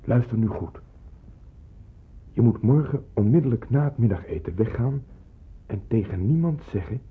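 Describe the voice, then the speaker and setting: An actor playing a grave, careful man who secretly arranges wartime hiding in a Dutch radio play, giving deliberate instructions. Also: mid-range voice, husky. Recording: muffled, phone-like old radio recording.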